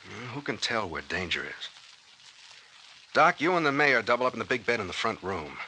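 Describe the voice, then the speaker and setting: An actor playing a bossy, gruff young detective captain in old-time radio drama style. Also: male voice, fairly low pitch, very animated, moderate pace, bright, forceful tone, heavily acted, rough recording. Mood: commanding. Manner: bossy, brusque. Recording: vintage radio recording with faint noise.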